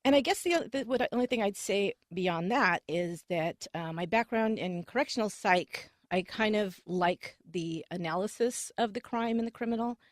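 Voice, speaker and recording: A woman's voice: medium pitch, moderate pace, hardly any pauses, no echo, clean studio sound. A woman podcast host describing her show in a roundtable discussion, chatty and often correcting herself mid-sentence. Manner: chatty, self-correcting, many fillers.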